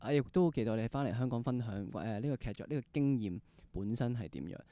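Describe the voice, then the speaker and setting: A podcast host with a Hong Kong Cantonese accent, interviewing casually in an excitable way full of exclamations. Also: medium pitch, fast, no echo.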